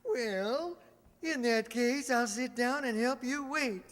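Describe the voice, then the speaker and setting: Man acting a slow, mild, deadpan druggist. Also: very high-pitched, heavily acted. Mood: dry, mild. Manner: hesitant, deadpan, drawn-out folksy delivery. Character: mild, henpecked small-town druggist, dryly contrary older man. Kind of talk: old-time radio comedy acting. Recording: reverberant hall, stage re-enactment.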